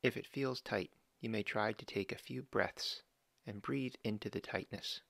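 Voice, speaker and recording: Male meditation guide, low gravelly voice, dry close mic, slow calm instructions. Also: dry recording. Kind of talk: guided body scan meditation.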